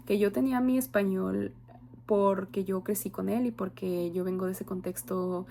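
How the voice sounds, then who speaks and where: A woman's voice: mid-range voice, steady tone, moderate pace, creaky, warm tone, slight background noise. A young woman with a Mexican accent, a university student giving an earnest, reflective oral presentation with frequent hesitation fillers. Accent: Mexican Spanish.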